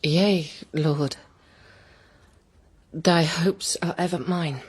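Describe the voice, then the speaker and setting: A proud guarded queen, huskyish lowish voice, formal old verse drama. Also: fairly even intonation, very slow, breathy edge, full character voice. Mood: choked bitterness. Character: proud queen hiding a secret passion.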